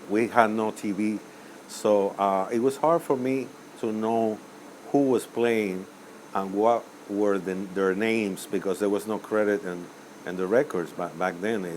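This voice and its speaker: Older man, low voice. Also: a little mellow, slight background noise, rough recording. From Cuba. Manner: rambling, hesitant with many uhs and self-corrections. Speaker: musician guest. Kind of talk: interview answers.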